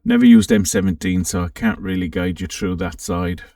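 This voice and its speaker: Deep, creaky-voiced man in a roomy space. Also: wildly swooping pitch, normal speed, warm tone, studio quality. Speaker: amateur radio channel host. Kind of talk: casual software walkthrough. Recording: roomy, distant microphone.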